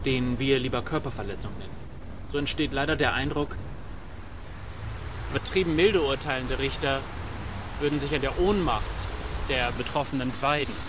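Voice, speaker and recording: Radio satire: male actor as self-righteous judge, deliberate bureaucratic delivery, noisy outdoor recording. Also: medium pitch, natural voice. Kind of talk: satirical radio play, formal statement.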